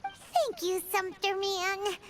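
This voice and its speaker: A woman's voice: very high voice, animated, slow, heavily acted. A woman voicing a tiny childlike fairy companion in an anime game, perky and easily indignant, speaking of herself in the third person. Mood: put-upon. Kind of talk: English-dubbed anime game acting. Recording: loud music behind the voice.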